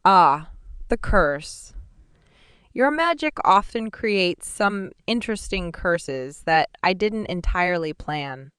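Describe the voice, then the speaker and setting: A cruel sorcerer father taunts his captive daughter with cool, sneering amusement, as a woman reader puts him on. The voice sits low and smooth, read close to the mic in a dead-dry studio. It unfolds like a dark fantasy audiobook. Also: expressive, slow pace, dry recording. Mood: mocking. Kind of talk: audiobook dialogue reading.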